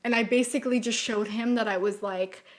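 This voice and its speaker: A young woman co-hosting a casual podcast, telling stories in an animated way and filling her talk with "like" and "oh my God.". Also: on the high side, a little fast. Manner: animated storyteller, says "oh my God" and "like" a lot. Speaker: podcast co-host. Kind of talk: casual chat.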